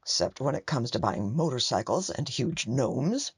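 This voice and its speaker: A prickly middle-aged mother acted by a woman in a radio play. Her low voice moves expressively. She is dry, pointed and politely sarcastic. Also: sing-song, normal speed, husky, full character voice.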